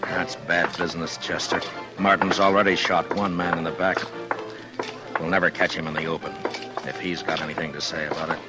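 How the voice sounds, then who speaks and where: An actor playing a stern, weary Old West marshal in a terse, authoritative way, in 1950s radio western style. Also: male voice, low voice, little pitch movement, brisk, over loud music, close to an everyday voice. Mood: tense, businesslike. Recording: vintage 1950s radio drama recording.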